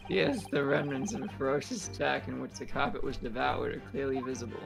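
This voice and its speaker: A man plays an earnest young lawyer in a video game, laying out clues deliberately. The voice is mid-pitched with plenty of gravelly creak, over clear background music. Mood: declarative.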